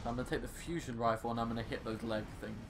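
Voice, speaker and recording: A man's voice: mid-range voice, fairly even intonation, rapid-fire, music in the background. A young man giving casual gameplay commentary in a rambling, exasperated way, with joking complaints and mild swearing. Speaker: gaming video host. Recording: game sound clearly behind voice. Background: video game action sounds.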